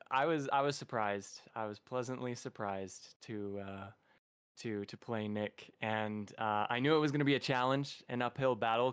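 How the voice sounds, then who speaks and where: Smooth-voiced hesitant man. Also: medium pitch, fairly bright tone, dry recording. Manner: hesitant, self-deprecating, stumbling starts. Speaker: voice actor on a cast panel. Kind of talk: casual livestream chat.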